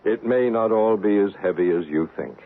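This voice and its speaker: A low, measured male voice comes through a narrow, faintly noisy old radio broadcast. A man acts a paranormal investigator, calm and authoritative, probing and instructing like someone in charge of a strange case. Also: lightly acted. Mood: calm, instructive. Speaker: psychic investigator and narrator. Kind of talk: vintage radio drama acting and narration.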